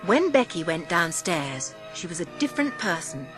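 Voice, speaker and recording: A woman reading scripted storybook narration in an expressive, measured way. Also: on the low side, music in the background. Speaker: audiobook narrator.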